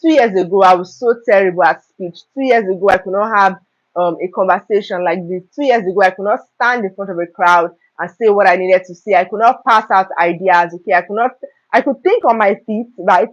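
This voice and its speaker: A young woman hosting a live stream, talking earnestly about self-improvement and often checking in with "okay?" and "right?". Her throat is slightly hoarse. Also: mid-range voice, a little fast. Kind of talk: motivational talk on a live stream. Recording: dull lo-fi live stream audio.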